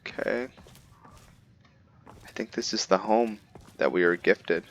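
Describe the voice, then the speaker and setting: A man hosting a gaming video, musing aloud in a relaxed way as he plays and comments on what he finds. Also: lowish voice, slow. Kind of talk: casual game commentary.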